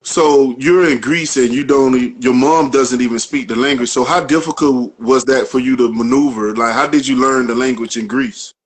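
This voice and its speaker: Male podcast host, calm and probing, everyday mid voice. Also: medium pitch, moderate pace, few pauses, husky. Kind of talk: interview questions.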